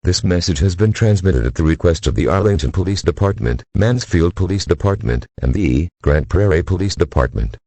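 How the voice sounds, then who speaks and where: A man reading a scripted emergency broadcast announcement in a formal, flat, synthetic-sounding manner. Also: low voice, fairly quick, vocal fry, hardly any pauses, breathy edge.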